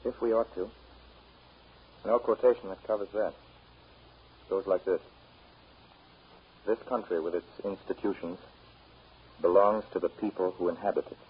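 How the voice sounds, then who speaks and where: A man's voice: low voice, fairly even intonation, moderate pace, pauses often, slightly rough, muffled recording. An actor playing a grave, thoughtful American husband and father in 1930s radio drama style, on a scratchy old broadcast. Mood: solemn, quiet.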